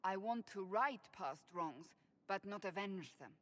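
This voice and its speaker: An actress voicing a stern, devout female warrior in video game dialogue, proud and earnest, speaking in a low, firm, measured way. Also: animated, fairly bright tone.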